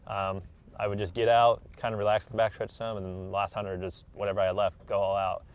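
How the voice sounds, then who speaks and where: A man's voice: fairly low pitch, level tone, brisk. A man in his twenties, an athlete giving casual, matter-of-fact explanations in an interview. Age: 20s. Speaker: elite track athlete being interviewed. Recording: dull, muffled lo-fi recording.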